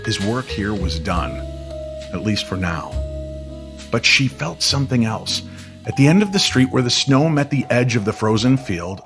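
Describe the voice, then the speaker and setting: A man narrating an eerie, nostalgic winter-evening style story in a measured, dramatic way. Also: on the low side. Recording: music under the voice.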